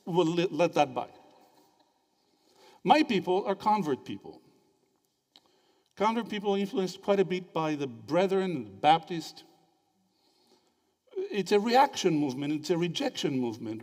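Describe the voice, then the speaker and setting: A man from Greece giving a lively, interactive theology lecture and quizzing his audience with quick questions. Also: fairly high pitch. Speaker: theology speaker at a symposium. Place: lecture hall. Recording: reverberant hall.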